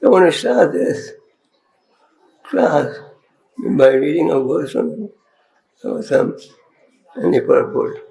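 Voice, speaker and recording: Soft-voiced older man with a gravelly rasp. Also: a little high, lively intonation, low-quality recording. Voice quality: soft, worn voice. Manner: slow, halting, speaks in short phrases. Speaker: swami giving initiation. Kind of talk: ceremonial address with translation.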